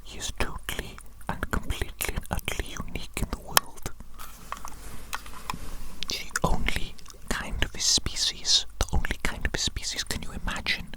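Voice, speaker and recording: A performer voicing an evil doctor who dotes on their creations, in a slow, breathy ASMR roleplay whisper with lingering, repeated phrases. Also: lightly acted. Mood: pitying, coaxing. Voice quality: breathy unvoiced whisper throughout. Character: evil mad scientist doctor, doting and sinister creator of monsters.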